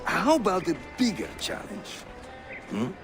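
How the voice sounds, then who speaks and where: A man's voice: high voice, wildly swooping pitch, measured pace, over music, heavily acted. An actor playing a jovial, talkative Ottoman assassin leader in a video game, with a Turkish accent and a teasing, theatrical manner. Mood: instructive. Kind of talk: video game cutscene acting.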